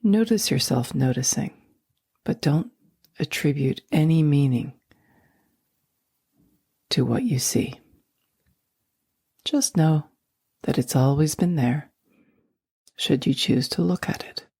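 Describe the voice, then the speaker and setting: A low, mellow woman's voice guides a sleep meditation, soft and slow. Much of it drifts into a breathy near-whisper. The sound is close and dry, with no room around it. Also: lively intonation, dry recording.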